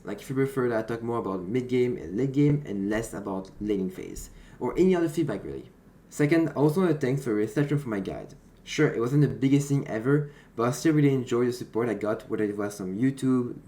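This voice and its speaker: A young man with a French accent giving a calm, explanatory voice-over that breaks down a match he played. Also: medium pitch, a little fast. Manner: explanatory, slightly non-native phrasing. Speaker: gaming content creator. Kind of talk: gameplay commentary voice-over. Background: background music.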